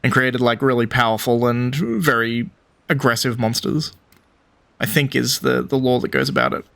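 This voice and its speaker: A male game streamer with a mid-pitched voice gives casual commentary. He muses and thinks aloud in an informal, conversational way. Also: expressive, normal speed, creaky, bright, forceful tone. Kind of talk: casual let's play commentary.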